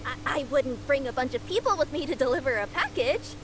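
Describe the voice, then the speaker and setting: A woman's voice: sits high, animated, brisk. A woman voicing a young, polite, easily flustered cat-spirit courier girl in a video game, earnest and prone to stammering. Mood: nervous, flustered. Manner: earnest, stammering when nervous. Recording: game capture with clear background noise.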